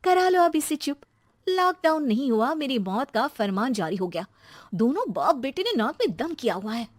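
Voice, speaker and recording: A woman voicing an exasperated, sarcastic mother who nags and shouts in an animated cartoon story. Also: normal speed. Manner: shrill shouting, sarcastic nagging. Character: exasperated, scolding Indian mother and housewife, sarcastic.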